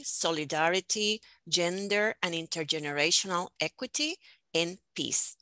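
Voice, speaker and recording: Woman, middle-aged, formal presenter, deliberate phrasing, forward bright tone, dry headset recording. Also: mid-range voice, no room sound. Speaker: panel host and moderator. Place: indoors on a video call, virtual background.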